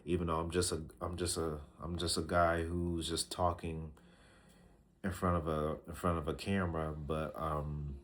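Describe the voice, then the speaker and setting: A man in his early thirties talking to a camera, giving casual life advice in a slow, reflective way, often repeating himself with fillers and restarts. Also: rumbling low, flat delivery. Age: 30s. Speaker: vlogger.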